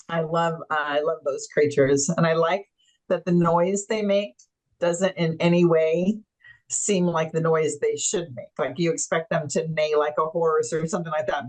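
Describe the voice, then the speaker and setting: A woman's voice: low voice, poor audio. A woman hosting a webinar interview, chatting enthusiastically and explaining things for viewers. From Tanzania. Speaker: webinar host. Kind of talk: casual interview chat.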